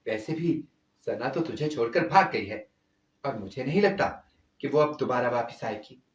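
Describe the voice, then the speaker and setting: A man's voice: medium pitch, animated, moderate pace, close to an everyday voice. A man voicing a stern, angry older mother scolding her son in an indignant manner, in a storytelling recording. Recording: echoey, distant-sounding voice.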